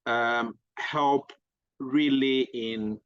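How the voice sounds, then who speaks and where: A slow-speaking man presents for his group in an online workshop. He is polite and halting, leaning on fillers. The call audio is dry and somewhat dull. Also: mid-range voice, fairly even intonation, fairly bright tone, clean tone, no echo. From Ghana. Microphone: video call.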